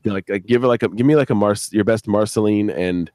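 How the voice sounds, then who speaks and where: A man chatting casually as a guest show creator on a live stream, rambling and halting, repeating words and swearing freely. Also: lowish voice, a little mellow, no echo, clean studio sound.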